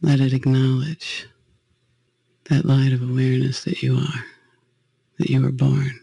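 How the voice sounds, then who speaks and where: Male guided-meditation leader, gravelly and close in a dry space, gentle spiritual delivery. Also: mid-range voice, completely flat delivery, a little slow, dry recording.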